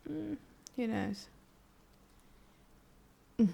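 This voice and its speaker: A woman with an English accent playing a cruel, condescending female boss in an ASMR roleplay, murmuring with drawn-out pauses. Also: a little high, expressive, creaky, warm tone, slightly rough, exaggerated voice. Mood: condescending, controlled.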